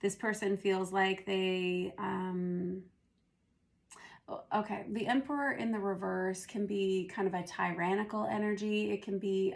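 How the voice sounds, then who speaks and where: Hoarse, gravelly woman reading tarot. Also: medium pitch. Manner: unhurried, thinking aloud as she reads. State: hoarse, losing her voice. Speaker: tarot reader. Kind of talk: casual spoken tarot reading.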